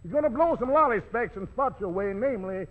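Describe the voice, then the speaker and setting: A man's voice: high-pitched, animated, a little fast. A man announcing music on 1940s radio in slangy, playful jive-talk patter. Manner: slangy, rhyming hipster patter. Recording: tinny old 1940s radio recording.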